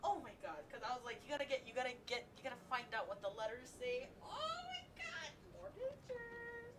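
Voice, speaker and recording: A woman's voice: high-pitched, sing-song, a little fast, background noise. Squealing, gushing young woman streamer. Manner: gushing fangirl, rambling, squealing. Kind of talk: excited live game commentary. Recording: game music playing under the voice. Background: game music.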